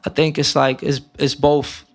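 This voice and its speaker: A young man from Romania, a guest musician, talking casually in an interview with many fillers and restarts. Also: a little high. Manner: relaxed, halting, many fillers.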